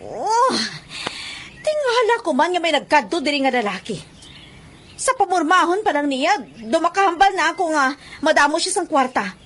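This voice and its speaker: Radio drama: an earnest, protective mother, Western Visayan accent, expressive swings, gently reproving her son. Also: very high-pitched, moderate pace, heavily acted. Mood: concerned. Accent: Filipino, Western Visayan. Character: caring, reproving widowed mother of a young man. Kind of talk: Philippine radio drama acting.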